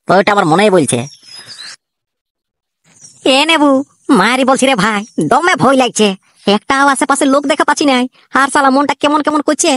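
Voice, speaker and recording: A voice artist voicing two jittery young male soldiers in a comic cartoon, with a Purulia Bengali accent and rapid, chatty banter. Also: medium pitch, a bit bright, no room sound, lightly acted.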